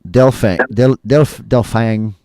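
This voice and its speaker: A man hosting a casual podcast chat. His voice is going hoarse, and he talks in an excitable, rambling, profane way. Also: medium pitch, takes it slowly, no room sound, studio quality. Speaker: podcast host.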